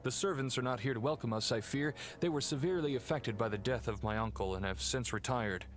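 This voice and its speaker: An actor playing an earnest, uneasy aristocratic heir in a video game, speaking formally and courteously. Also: male voice, mid-range voice, fast talker, a little hiss or hum, close to an everyday voice. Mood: formal, somber. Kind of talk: scripted video game dialogue.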